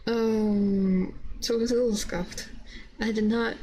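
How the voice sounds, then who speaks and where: A woman streamer chatting casually and hesitantly with her live viewers in a soft-spoken, giggly way. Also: medium pitch, steady tone, a little slow, a little hiss or hum, low-quality recording. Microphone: binaural ASMR microphone.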